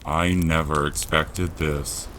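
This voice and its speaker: A man playing a big, gruff, kindly, emotional giant of a man in an audio drama, speaking bluntly in rustic dialect with exclamations. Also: rumbling low, level tone, takes it slowly, background noise, pitched below the performer's own voice. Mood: anxious, reluctant.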